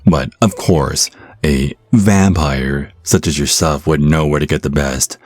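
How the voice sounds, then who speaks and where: Very deep-voiced, wry American guy played by an actor. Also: steady tone, a little slow, dry recording. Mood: impressed. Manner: wry, casual, sometimes flustered. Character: easygoing, sarcastic, smitten American man on a date. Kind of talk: audio roleplay acting with first-person narration.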